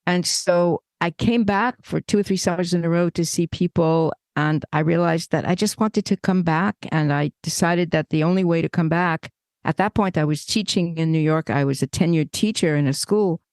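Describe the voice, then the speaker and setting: An older woman co-hosting a podcast, telling stories in a lively, conversational way. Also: fairly low pitch, normal speed, dry recording, studio quality. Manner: animated storyteller, acts out remembered dialogue. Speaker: podcast co-host. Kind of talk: casual conversation.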